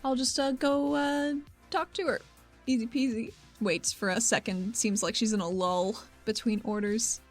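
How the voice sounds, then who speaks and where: Woman, game player, breezy, playful, casual improvised narration, higher pitch, soft music behind. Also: steady tone, moderate pace. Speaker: player in a tabletop roleplaying game.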